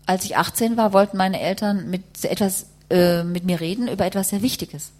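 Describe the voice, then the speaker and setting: A woman's voice: medium pitch, a little fast, darkish tone. A woman working as a live interpreter, rendering a testimony phrase by phrase and rewording as she goes.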